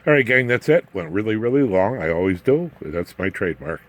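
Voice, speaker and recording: A man's voice: lowish voice, big pitch swings, brisk. A man giving a casual, off-the-cuff technical demonstration as a hobby electronics host, rambling along in a folksy way with jokey asides. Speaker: amateur radio electronics video host.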